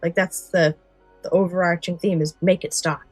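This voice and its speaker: A woman co-hosts a podcast in a fairly low voice. Her delivery is conversational and explanatory. The sound is very dry, with faint music underneath. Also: steady tone, moderate pace, a little mellow, dry recording. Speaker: podcast co-host. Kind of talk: casual podcast chat with some read-out story summaries.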